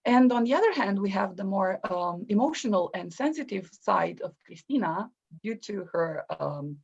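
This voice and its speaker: A woman with a Romanian accent hosting a book launch as an academic, speaking haltingly with many pauses. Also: mid-range voice, expressive, vocal fry, darkish tone, slightly rough. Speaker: event host, academic literary historian. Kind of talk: book launch introduction and interviewing. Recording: muffled online livestream audio.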